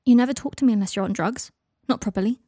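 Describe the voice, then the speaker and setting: A woman's voice: a little high, rapid-fire, dark tone, husky, dry recording, clean studio sound. A woman voicing a hurt, exasperated young man in an audiobook story, pleading and accusing with emphasis. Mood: bitter, accusing.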